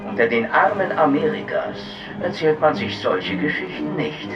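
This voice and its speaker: An actor playing a propagandist who lectures in a declamatory way, filtered like an old broadcast in a radio drama. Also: male voice, fairly high pitch, very animated, normal speed. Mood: lecturing. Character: American-born propagandist lecturing in a preachy tone. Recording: dull, distant broadcast sound with music.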